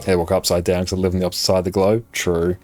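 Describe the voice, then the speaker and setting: A young Australian man with a soft, slightly British-sounding accent, chatting casually and reading chat aloud during a live game stream. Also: deep voice, very fast, faint music behind. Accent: Australian, described as a softer, British-sounding one. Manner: relaxed, friendly, chatty banter. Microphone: desk streaming microphone.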